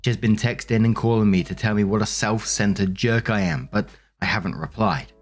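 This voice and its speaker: A man narrating online stories aloud and commenting on them in a measured, conversational way. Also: fairly low pitch, fairly even intonation, soft background music. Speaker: host and narrator of Reddit story compilations.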